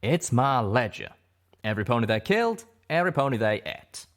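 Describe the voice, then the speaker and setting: A folksy old pony from an audio story, played by a man. He is a frank, rustic survivor who speaks plainly, with a voice pushed up in pitch. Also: big pitch swings, brisk, in a large hall. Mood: grim. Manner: folksy rural phrasing.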